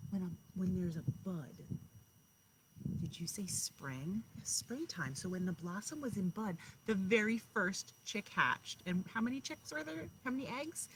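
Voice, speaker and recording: A woman kindergarten teacher talking and reading aloud to young children, speaking to them directly and pausing to explain and ask questions. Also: medium pitch, fairly bright tone, a little hiss or hum, low-quality recording.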